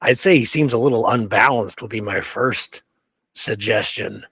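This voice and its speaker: A man's voice: lowish voice, normal speed, fairly bright tone, husky, no echo. A man co-hosting a history show in casual, informal chat, thinking aloud as he goes. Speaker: co-host of a Western history show.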